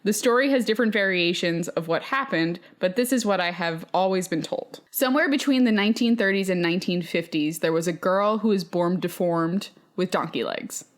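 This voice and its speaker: A woman's voice: on the low side, a little fast, hardly any pauses. A woman podcast host reading listener stories aloud in a casual, bantering way, with playfully exasperated asides.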